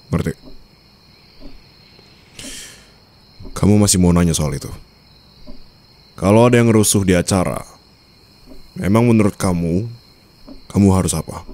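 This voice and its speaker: A man playing a cold, domineering mafia boss and teasing new husband in an ASMR roleplay, speaking in a deliberate, menacing way. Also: sits low, warm tone, lightly acted. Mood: cold, threatening. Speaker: roleplay voice performer.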